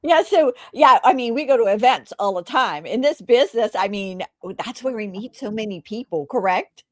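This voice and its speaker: A woman's voice: fairly high pitch, expressive, normal speed, no echo, clean studio sound. A woman hosting an interview, introducing her guest and asking questions in an enthusiastic, chatty way. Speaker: host.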